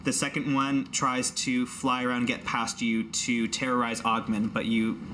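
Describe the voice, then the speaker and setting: A man's voice: mid-range voice, little pitch movement, moderate pace, bright, forceful tone, a little hiss or hum. A man running a tabletop fantasy game, narrating the action with casual, playful, vivid description. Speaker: game master.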